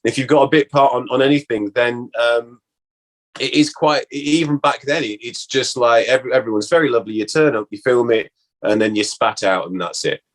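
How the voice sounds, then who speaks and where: A middle-aged man with a British accent, a former actor chatting casually and haltingly, repeating words for emphasis. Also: mid-range voice, poor audio. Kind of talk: casual interview chat.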